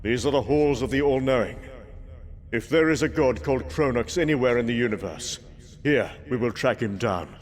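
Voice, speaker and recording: A man's voice: medium pitch, big-room sound. A man voicing a proud, defiant thunder god warrior with bold, commanding, declamatory delivery in a dramatic comic book reading. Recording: clear background ambience noise.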